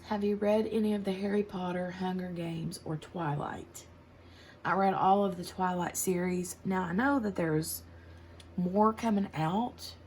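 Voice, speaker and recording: A woman's voice: lowish voice, steady tone, measured pace, a little mellow, a little hiss or hum. An American woman in her forties, chatting casually and rambling a little while she works on a craft project and answers tag questions. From United States. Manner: rambling, chatty, laughs easily. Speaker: craft channel host.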